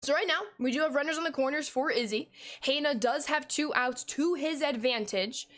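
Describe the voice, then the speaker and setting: A slightly hoarse woman in her twenties giving upbeat live play-by-play commentary on a game stream and thanking viewers by name. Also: high voice, normal speed, a bit bright. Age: 20s.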